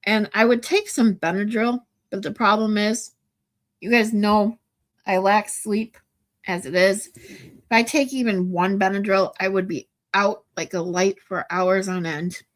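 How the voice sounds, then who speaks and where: A woman in her forties hosts a laid-back livestream chat, her voice a bit stuffed up from congestion. She rambles along with lots of ums and false starts, her pitch sitting in an ordinary middle range. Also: steady tone, measured pace. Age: 40s. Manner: rambling, many ums and restarts. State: stuffy nose. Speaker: livestream host. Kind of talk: casual chat with viewers.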